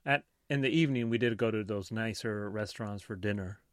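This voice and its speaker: A man talks as a podcast co-host in an easy conversational style, passing on practical advice. His voice is a bit low in pitch, recorded dry with little sense of the room. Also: a little fast, no room sound. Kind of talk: casual chat, sharing travel tips.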